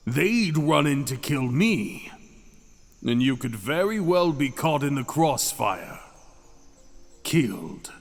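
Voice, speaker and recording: A man's voice: medium pitch, full character voice. A man playing a languid, seductive captive dragon king. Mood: tender, protective. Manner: languid, teasing, drawn-out phrasing with dramatic pauses. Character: mad captive dragon king, ancient, seductive, possessive, menacing. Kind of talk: intimate ASMR roleplay acting. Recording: long cavernous reverb, music behind.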